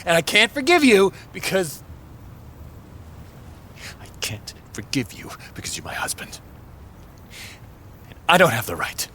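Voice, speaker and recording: An actor playing an anguished, self-loathing married man in an audio drama, halting and emotional. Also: high-pitched, huge pitch swings, bright, forceful tone, slight background noise, full character voice. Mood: anguished, bitter. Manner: halting, emotional, dropping to whispers and rising to outbursts.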